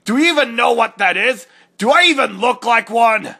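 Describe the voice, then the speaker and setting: Man voicing an irritable high-pitched cartoon echidna. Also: lively intonation, moderate pace, vocal fry, bright, forceful tone, husky, exaggerated voice. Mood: exasperated. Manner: indignant, scornful, easily exasperated. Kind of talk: comedic dramatic reading with exasperated asides.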